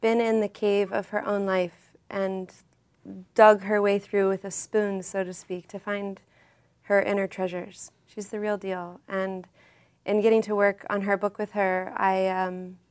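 A woman's voice: mid-range voice, level tone, measured pace, a little mellow, smooth. A woman author and artist, a podcast guest speaking in a reflective, earnest way. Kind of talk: podcast interview.

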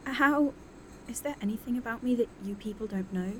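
A woman's voice: fairly high pitch, fairly quick, a little mellow, a little hiss or hum, natural voice. An actress playing a guarded, sarcastic woman scientist in an audio drama, speaking in a dry, blunt way. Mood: bitter. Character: jaded, sarcastic, guarded female scientist, quick to bristle.

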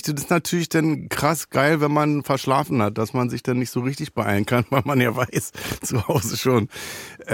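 A man rambles through a casual podcast chat with a cold, breaking off and restarting his thoughts as he jokes. His voice sits in an ordinary middle pitch, recorded close and dry with no room sound. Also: dry recording. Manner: rambling, self-interrupting, jokey. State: has a cold. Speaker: podcast host.

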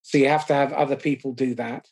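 Husky guy hosting a podcast, steady measured recap, bit self-mocking. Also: mid-range voice. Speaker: podcast host. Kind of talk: conversational podcast interview.

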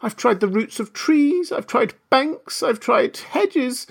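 A performer voicing a frantic, indignant mother pigeon in a storybook, shrill, screeching and scolding. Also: expressive, slow, vocal fry, husky, exaggerated voice. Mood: sobbing, exasperated. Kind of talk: storybook character voice.

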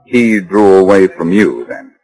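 An actor playing a wry, confident amateur detective in a 1940s radio drama, quick with wisecracks. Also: male voice, low voice, expressive, a little mellow, poor audio. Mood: probing, sympathetic. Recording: vintage 1940s radio broadcast recording.

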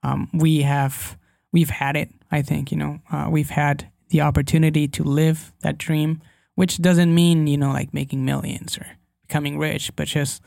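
A man's voice: a little high, flat delivery, moderate pace, creaky, darkish tone, dry recording, studio quality. A young man whose first language is Spanish, chatting as an interview guest and often hesitating as he searches for English words. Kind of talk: casual interview chat.

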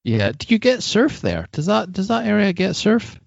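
A man's voice: sits high, big pitch swings, normal speed, vocal fry, no room sound, dull audio. A man hosting a podcast, chatting informally and asking questions in a friendly, conversational way. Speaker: podcast host. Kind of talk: casual podcast interview chat.

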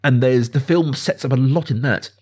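A man's voice: medium pitch, moderate pace, a little mellow, slightly rough. A man hosting a podcast, talking casually about film in an emphatic way, often repeating phrases for stress. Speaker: podcast host.

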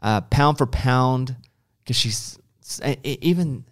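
A man's voice: mid-range voice, slow pace. A man hosting a podcast, chatting casually and acting out little imagined quotes as he talks. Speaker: podcast host. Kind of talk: casual interview chat.

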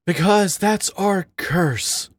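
A man's voice: high voice, drawn-out words, no room sound, pitched above the performer's own voice, full character voice. A man voicing a bitter, lovesick male raccoon in a dramatic story reading, goading and pleading in a world-weary way. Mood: resigned. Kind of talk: dramatic audiobook character reading.

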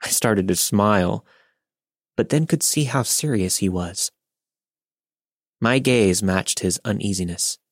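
A man calmly reading a listener's ghost story aloud. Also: on the low side, unhurried, long pauses, dry recording, clean studio sound. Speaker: podcast narrator. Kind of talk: scripted narration of a listener's story.

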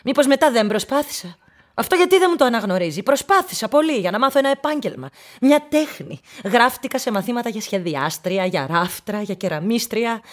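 An actress playing an unstable, childlike middle-aged widow in a radio play, defensive and pleading, swinging between agitated protest and conspiratorial murmurs. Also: female voice, on the high side, animated, close to an everyday voice. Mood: aggrieved, agitated.

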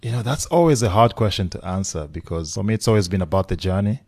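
Congo-born man, forties, remote podcast guest; very low, reflective storyteller. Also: lively intonation, fast, darkish tone, no room sound, studio quality. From Democratic Republic of the Congo. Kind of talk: casual remote interview. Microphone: remote call.

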